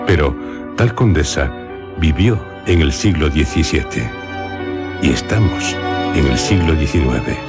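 A man presents a radio story. He is slow and theatrical, placing short phrases for suspense. Loud music plays under him. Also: a bass voice.

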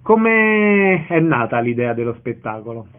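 A man's voice: mid-range voice, very animated, a little slow. Male radio interviewer, mid-range voice, scripted-sounding questions and announcements, thin telephone-grade audio. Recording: narrow-band radio phone sound.

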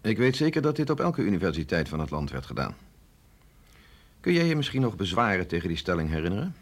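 Gezaghebbende dokter met krakende stem. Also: male voice, low voice, very animated, heavily acted. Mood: patient, instructive. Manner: measured, explanatory, authoritative. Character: authoritative male doctor at a secret research institute, patient and grave. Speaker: doctor. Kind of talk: radio drama acting.

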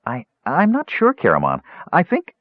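A man voicing a small, earnest young male kender with a high, pleading voice. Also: wildly swooping pitch, normal speed, dry recording, dull audio. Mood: anxious.

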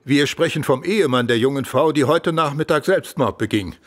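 Ein Schauspieler spielt in einem Hörspiel einen ernsten, eindringlichen Polizeichef mit belegter Stimme und Autorität. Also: male voice, mid-range voice, brisk, natural voice. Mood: grave, urgent. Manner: authoritative, emphatic. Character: grave, urgent senior Scotland Yard chief. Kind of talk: German radio play acting.

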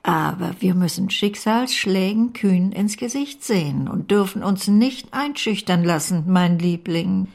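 Older great-aunt, gravelly creak, blunt yet loving, dry theatrical delivery, period radio play. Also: female voice, medium pitch, animated, measured pace, hardly any pauses, a little mellow. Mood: consoling, resolute. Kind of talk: German radio play acting of a period novel.

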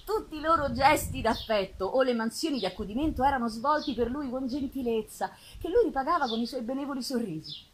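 A woman giving an expressive, dramatic literary reading with pauses and drawn-out phrases, performed live on stage. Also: on the high side, noisy background. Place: live theatre festival performance. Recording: live music behind the voice. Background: live musical accompaniment.

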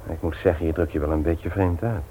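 An actor playing a busy, sociable brother in a radio play, talking in a brisk, frank, conversational way. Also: male voice, rumbling low, fairly even intonation, dark tone. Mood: frank, concerned. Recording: tinny, noisy old radio recording.

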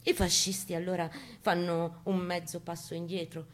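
A woman's voice: lowish voice, little pitch movement, normal speed. A woman gives an emphatic, theatrical reading of a first-person story. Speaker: reader at a live spoken-word reading.

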